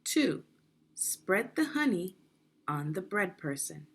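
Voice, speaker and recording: A woman with a lowish voice reads instructional text to young children. Her delivery is unhurried and deliberate, with lively rises and falls in pitch. She explains as a teacher does, pointing things out step by step. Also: gravelly, husky. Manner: deliberate, explanatory, checking in with questions. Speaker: reading teacher, narrator of a children's book read-aloud.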